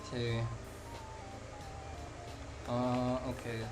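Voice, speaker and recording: A man's voice: medium pitch, completely flat delivery, very slow, over music. A young man from the Philippines chatting casually with viewers while he draws live, rambling with lots of fillers. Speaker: live-drawing streamer. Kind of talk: casual live-stream chat while drawing.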